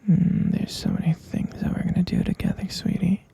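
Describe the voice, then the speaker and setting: A man's voice: fairly quick, warm tone, close to an everyday voice. A man playing an obsessive, possessive kidnapper boyfriend in an intimate ASMR roleplay, whispering huskily and coaxing with doting pet names. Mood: doting, menacing. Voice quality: breathy, husky whisper with gravelly creak. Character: obsessive, possessive male yandere kidnapper, sweetly menacing boyfriend.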